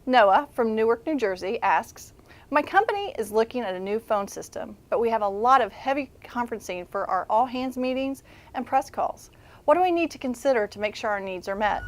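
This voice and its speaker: A woman presenting as a show correspondent, answering viewer questions in a polite, instructive, scripted delivery. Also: a little high.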